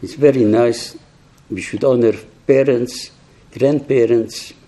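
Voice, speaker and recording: An elderly man recounts his memories slowly, in halting, reflective phrases with frequent restarts. His voice is very dark and mellow, with a husky grain. He is hard of hearing. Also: mid-range voice, steady tone. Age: older, 80s or 90s. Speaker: survivor giving testimony to young people. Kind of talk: personal testimony talk.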